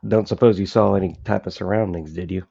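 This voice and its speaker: A man's voice: low voice, steady tone, fast, creaky, dark tone, husky. A man playing a tabletop game, speaking casually and improvising in character. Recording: dull, lo-fi microphone sound.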